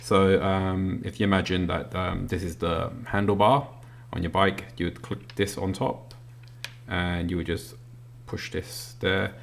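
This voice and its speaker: A British man casually walking through an unboxing, talking as he handles the items with lots of ums and pauses. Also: low-pitched, gravelly. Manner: relaxed, filler-heavy, talks while handling items. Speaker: product reviewer.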